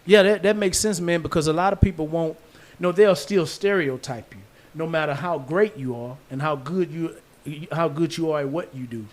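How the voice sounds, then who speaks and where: A man hosting a casual podcast chat, talking in animated, informal, slangy banter. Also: a little high. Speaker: podcast host.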